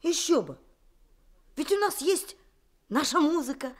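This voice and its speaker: A woman's voice: sits high, big pitch swings, measured pace. A woman voicing a cheerful teenage boy who teases his friend in an old radio play. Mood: playful. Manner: playful, laughing. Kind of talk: 1960s Soviet radio drama acting.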